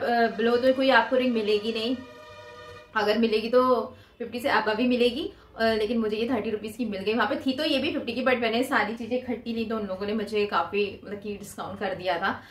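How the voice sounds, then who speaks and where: Chatty young woman in an echoey room. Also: a little high. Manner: chatty, showing items to camera with her hands. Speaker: vlogger. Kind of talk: casual shopping haul chat. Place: small room indoors.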